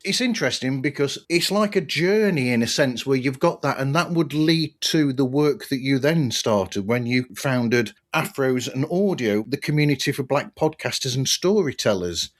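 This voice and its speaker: A man hosting a podcast, talking in an earnest, measured, conversational way as he reviews and interviews. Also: mid-range voice, lively intonation. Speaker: podcast host.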